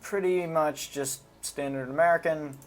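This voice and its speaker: A man's voice: fairly high pitch, steady tone, a little slow. A man giving casual, unscripted commentary on a video game, talking informally and hesitantly as he thinks aloud. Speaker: gaming video host.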